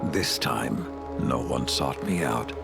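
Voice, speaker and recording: Grim battle-worn old warlord for a game, super deep n gravelly, grave delivery, loud music under it. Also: male voice, animated, exaggerated voice. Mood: grim, solemn. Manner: grave, deliberate, forceful. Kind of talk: dramatic video game monologue.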